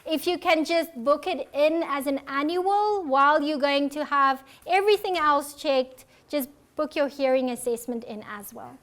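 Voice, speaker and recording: A South African woman with a notably high voice gives a public health talk. Her explanations are earnest and reassuring. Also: normal speed, few pauses, fairly bright tone. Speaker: audiologist presenter.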